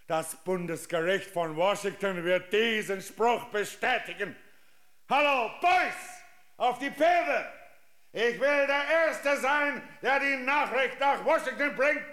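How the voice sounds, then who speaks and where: Proud, stubborn general: high, slow, commanding, theatrical radio drama. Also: male voice, bright, forceful tone, exaggerated voice. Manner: commanding, emphatic declaiming. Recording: echoey room.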